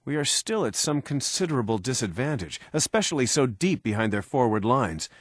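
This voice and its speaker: An actor playing a grave, wise sorcerer lord in a video game cutscene, speaking in a solemn, measured way. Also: male voice, medium pitch, big pitch swings, slightly rough, dry recording, clean studio sound. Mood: wary.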